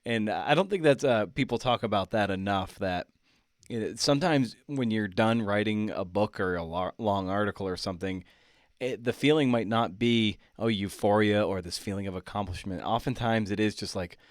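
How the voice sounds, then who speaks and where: Low-pitched man interviewing casually. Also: normal speed, no room sound, clean studio sound. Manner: rambling, colloquial phrasing. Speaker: podcast host, interviewer. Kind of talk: conversational interviewing.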